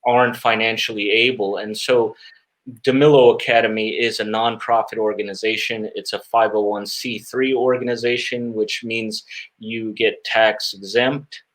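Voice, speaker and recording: A man answering interview questions as a guest magician, earnest and reflective, often pausing and repeating words as he searches for phrasing. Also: mid-range voice, a bit bright, low-quality recording.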